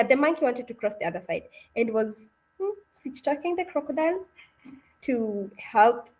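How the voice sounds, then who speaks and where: A woman's voice: sits high, low-quality recording. A woman hosting an interactive online read-aloud session and narrating the story, encouraging and often checking in with her listeners. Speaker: webinar host and story narrator. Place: online video call.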